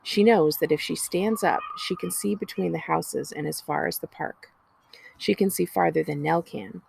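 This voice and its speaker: A woman reading a children's story aloud in an expressive narrating style. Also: lowish voice, normal speed, vocal fry, slight background noise, low-quality recording. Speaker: librarian reading a book aloud.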